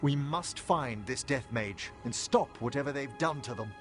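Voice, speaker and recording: An actor playing a refined, earnest scholarly necromancer in a video game, with formal, eloquent and carefully worded speech. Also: male voice, mid-range voice, normal speed, natural voice. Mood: composed, fascinated. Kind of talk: video game dialogue acting. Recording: music behind the voice.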